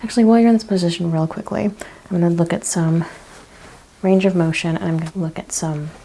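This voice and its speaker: A woman speaking in a gentle, hushed, partly whispered ASMR roleplay style, asking questions as a chiropractor. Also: on the low side, steady tone, a little fast, poor audio. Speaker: ASMR host playing a chiropractor. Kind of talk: soft-spoken ASMR roleplay.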